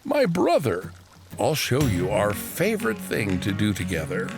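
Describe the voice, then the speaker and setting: A man's voice: on the low side, wildly swooping pitch, unhurried, gravelly, over music, exaggerated voice. A man voicing a friendly, goofy magical bear for a children's storybook, in a playful, teasing drawl. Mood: cheerful, wise.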